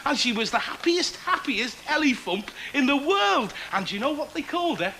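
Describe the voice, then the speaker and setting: Very high and lively, this is a cheery working-class Liverpool chancer, full of wisecracks and colloquial patter. He talks like a born yarn-spinner in a TV drama. The location sound is clearly noisy behind him. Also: moderate pace, full character voice. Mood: playful storytelling.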